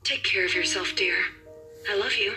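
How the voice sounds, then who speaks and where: Loving mother, soft and tender; mid pitch, music under. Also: normal speed, low-quality recording. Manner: soft, warm-hearted, motherly. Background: game music.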